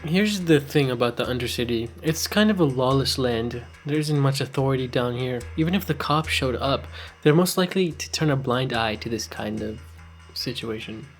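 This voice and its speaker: A man's voice: medium pitch, moderate pace, faint music behind. A man giving scripted story narration with wry, conversational asides.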